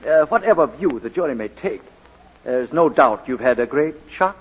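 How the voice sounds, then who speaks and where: High-pitched, sardonic British barrister. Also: male voice, expressive, moderate pace, husky. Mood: sly, probing. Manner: probing, sarcastic, hesitating for effect. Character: sardonic, relentless British cross-examining barrister. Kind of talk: 1940s radio drama acting. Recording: dull, lo-fi 1940s radio recording.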